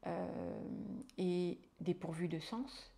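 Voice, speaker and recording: Hesitant woman, lowish voice. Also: extremely slow, vocal fry, warm tone. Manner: hesitant, many fillers and restarts. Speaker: hypnotherapist and midwife, guest. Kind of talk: casual conversational interview.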